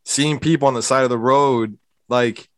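A man's voice: medium pitch, steady tone, moderate pace, a bit bright, dry recording, clean studio sound. A man from Hialeah, Florida, hosting a podcast in a laid-back, casual way. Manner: laid-back, says bro a lot. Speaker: podcast host.

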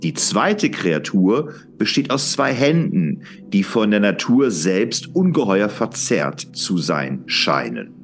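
A man's voice: fairly low pitch, very animated, slow, hardly any pauses, faint music behind. A man narrating a scripted audio drama as storyteller.

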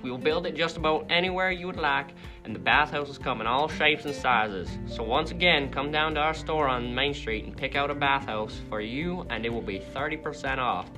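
A man's voice: medium pitch, normal speed, music in the background, voice pushed up, natural voice. A man playing a friendly store employee reading a scripted sales advert. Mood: upbeat, selling. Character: friendly bathhouse employee pitching a sale.

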